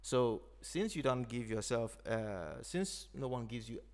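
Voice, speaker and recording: A man from Nigeria, an Igbo speaker, talking in a thoughtful, unhurried way as a podcast host. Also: mid-range voice, expressive, darkish tone. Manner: thoughtful, unhurried, frequent "you know". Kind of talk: reflective conversation.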